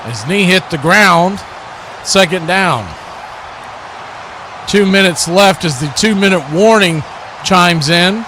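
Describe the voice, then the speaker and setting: A man's voice: high voice, lively intonation, takes it slowly, long pauses, pushed and bright, a little hiss or hum. A man hosting a live stream with play-by-play sports commentary and chat to listeners.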